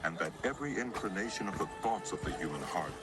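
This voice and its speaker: A man with a low, expressive voice narrates scripture in a dramatized style. His pitch moves widely as he reads, and loud music plays behind him. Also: quick pace. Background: prominent music.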